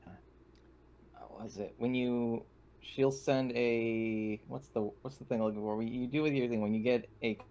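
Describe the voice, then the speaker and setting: A man's voice: mid-range voice, steady tone, moderate pace, mellow. A man playing a tabletop roleplaying game, chatting casually and searching for his words.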